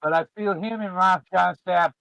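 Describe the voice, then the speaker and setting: A man's voice: sits high, moderate pace, creaky, fairly bright tone, dry recording, low-quality recording. A middle-aged man chatting casually to viewers as a streamer, in a rambling, informal and emphatic way. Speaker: podcast host and streamer. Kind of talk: casual chat, reminiscing to viewers.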